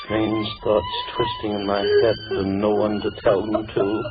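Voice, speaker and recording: An actor playing a deranged, menacing villain in old radio horror, theatrical and gloating, swinging between coaxing and rage. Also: male voice, lowish voice, huge pitch swings, measured pace, over music. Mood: coaxing, sinister. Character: deranged, menacing villain forcing a captive to marry. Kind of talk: 1940s radio horror acting. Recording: muffled old radio broadcast with background noise.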